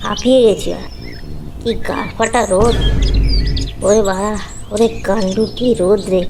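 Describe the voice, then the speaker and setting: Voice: medium pitch, steady tone, moderate pace, music in the background, close to an everyday voice. An actor voicing a foul-mouthed, lazy man in a comedy cartoon, whining and blustering in exasperation. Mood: whining, exasperated. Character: foul-mouthed lazy man griping about summer heat. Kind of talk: Bengali comedy cartoon voice acting.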